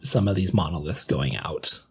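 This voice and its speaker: A man's voice: low-pitched, normal speed, a little hiss or hum. A man in his thirties discussing things casually as a podcast co-host. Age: 30s.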